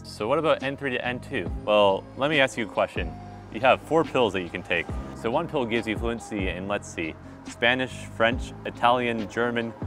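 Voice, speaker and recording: A young man in his twenties talking straight to the camera in a wry, animated vlog, recorded outdoors on a city street at night with a clip-on mic. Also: mid-range voice. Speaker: vlogger. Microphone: small clip-on mic on his shirt.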